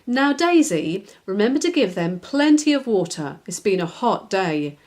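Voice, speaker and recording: A woman's voice: mid-range voice, big pitch swings, normal speed, a little mellow, natural voice. A woman voicing a kindly, encouraging father in a children's storybook, giving simple instructions. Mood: instructive.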